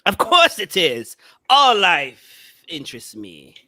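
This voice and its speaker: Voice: mid-range voice, wildly swooping pitch, takes it slowly, bright, forceful tone, no room sound, heavily acted. A performer reading a deranged, wheedling asylum patient in a theatrical gothic horror play. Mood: sly, manic. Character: deranged asylum patient craving living creatures, wheedling and manic. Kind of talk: amateur script read-through of a gothic horror play.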